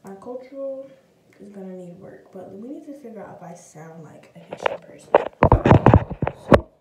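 A woman's voice: mid-range voice, animated, moderate pace, distant mic. A young woman of about nineteen chatting casually and animatedly to camera as a vlogger, in an informal way. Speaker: vlogger and pageant contestant. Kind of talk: casual vlog chat to camera. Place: small indoor rooms. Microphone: phone or camera mic at a short distance. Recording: roomy, noisy, off-mic phone audio.

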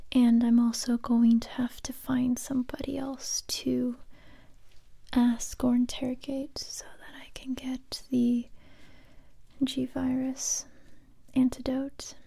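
Young woman for ASMR roleplay: close-mic breathy whisper with some crackle, slow and gentle. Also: sits high. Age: young adult. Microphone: close-up microphone.

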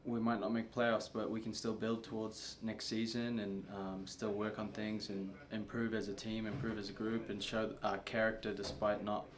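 A young man, a soccer player, answering questions at a post-match press conference in a subdued, halting way with many fillers. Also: on the low side, level tone. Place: press room before a sponsor backdrop.

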